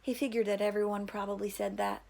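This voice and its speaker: A woman's voice: medium pitch, level tone, quick pace, darkish tone. A woman reading a children's novel aloud as a teacher would.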